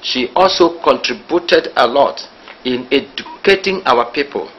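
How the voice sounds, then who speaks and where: Halting man, muffled. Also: mid-range voice, expressive, a bit bright. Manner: halting, searching for words. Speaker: interviewee.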